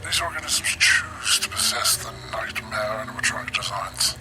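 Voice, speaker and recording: A man playing a grave, guilt-ridden research scientist in a video game, narrating an ominous log in a measured, sometimes breathy way. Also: on the low side, expressive, heavy background noise, close to an everyday voice.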